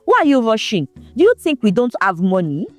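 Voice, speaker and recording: A woman's voice: a little high, sing-song, brisk, soft background music. A woman voicing a threatening teenage boy bully in a storybook tale, speaking sharply. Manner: sharp, menacing.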